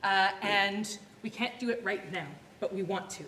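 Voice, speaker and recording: A woman's voice: mid-range voice, fairly even intonation, moderate pace, sharp tone. A woman making an earnest donation appeal on stage, with small laughs between phrases. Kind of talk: live stage speech. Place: large theatre hall. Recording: echoey theatre with faint noise.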